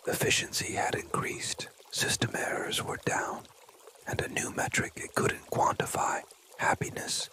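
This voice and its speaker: A breathy, fully whispered voice narrating a children's story in a gentle, deliberate ASMR style. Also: extremely high pitch.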